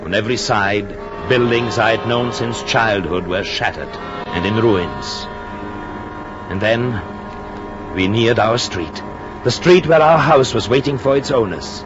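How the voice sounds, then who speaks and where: An actor playing an earnest husband in a 1940s radio drama, speaking formally and deliberately. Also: male voice, on the low side, natural voice. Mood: solemn, nostalgic. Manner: solemn, deliberate, formal phrasing. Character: earnest Austrian husband returning to his ruined hometown. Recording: muffled old radio broadcast, music behind.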